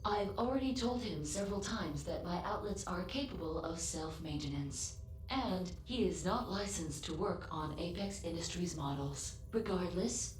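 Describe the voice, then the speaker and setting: Actress doing a formal robot lady from a sci-fi game, lowish voice, very precise and matter of fact, echoey with a bit of noise. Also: expressive, normal speed, natural voice. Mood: composed, factual. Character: polite, literal-minded female android ship assistant, loyal and formal. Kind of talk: scripted video game voice acting.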